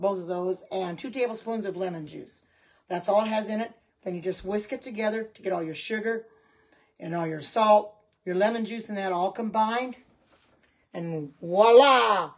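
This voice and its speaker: A woman's voice: mid-range voice, slightly rough. A middle-aged woman chatting casually on a livestream, reading out and answering viewer comments as she goes. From Oregon. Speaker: livestream host and kitchen products seller.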